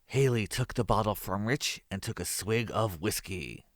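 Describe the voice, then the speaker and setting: A man's voice: fairly low pitch, animated, normal speed, dry recording, clean studio sound. A man narrating a scripted horror story.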